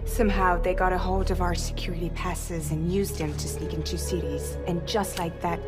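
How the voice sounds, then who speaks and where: A bitter, world-weary woman courier boss played by an actress speaks in a low voice. Her delivery is a frank, confessional game monologue, with loud music swelling beneath it. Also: lightly acted.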